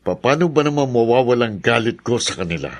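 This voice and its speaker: Man acting older father, mid-pitched voice, disgruntled, weary, grumbling, radio drama. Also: expressive, fairly quick, close to an everyday voice. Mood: grumbling, resentful.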